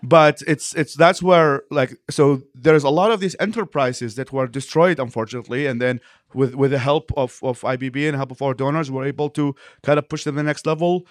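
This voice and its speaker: A man from Iraq speaks as an in an interview. He talks quickly and at length, reworking his sentences as he explains. The sound is close and dry, with no room around it. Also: medium pitch, steady tone, dry recording. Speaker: interview guest, nonprofit leader.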